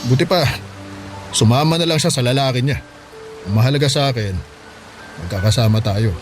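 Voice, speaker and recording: Actor doing self-sacrificing filipino dad in his forties, radio drama, mid-pitched, fatherly, bit of music under. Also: brisk, natural voice. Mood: earnest, resolute. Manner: reassuring, fatherly, plain-spoken. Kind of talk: radio drama acting in Tagalog.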